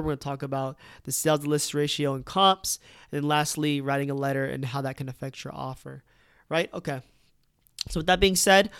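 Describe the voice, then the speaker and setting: A man's voice: fairly high pitch, creaky, fairly bright tone, clean tone, dry recording, studio quality. A man, a real estate agent, casually explaining home buying, often checking in with "right?" and "okay?". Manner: conversational, peppers with "right?" and "okay?".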